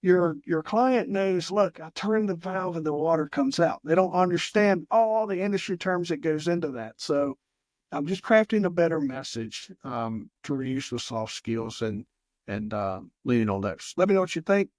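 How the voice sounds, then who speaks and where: Rambling Southwest Virginia podcast host. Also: male voice, a little high, expressive, normal speed, a little mellow, no room sound. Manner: rambling, many fillers and restarts. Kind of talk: conversational interview.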